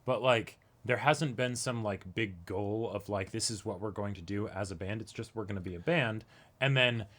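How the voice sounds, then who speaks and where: A man's voice: lowish voice, normal speed, fairly bright tone. A man co-hosting a reaction show, chatting casually, often hedging and checking for agreement as he talks. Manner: conversational, hedging with "like" and "you know", seeking agreement with "right?". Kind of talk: casual discussion of an anime episode.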